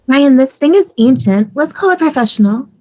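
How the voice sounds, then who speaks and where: A high-pitched young wife played by a woman at a table read. She is earnest, practical and persuasive, talking in a natural conversational way on a video call. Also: lively intonation, normal speed, darkish tone, poor audio. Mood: wary.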